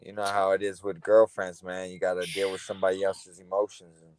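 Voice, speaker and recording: A man from Connecticut, a fighter being interviewed, talking in a relaxed, casual way and leaning on "you know" a lot. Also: low voice, fairly quick, crowd noise. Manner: laid-back, peppers speech with "you know". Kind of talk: casual conversation. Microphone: headphones on a remote call.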